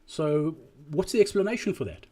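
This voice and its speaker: Mellow, slightly husky man presenting. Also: on the high side, very animated. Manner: deliberate and emphatic, repeating key phrases. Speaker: presenter analysing drive-through performance. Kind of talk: explanatory business presentation voice-over.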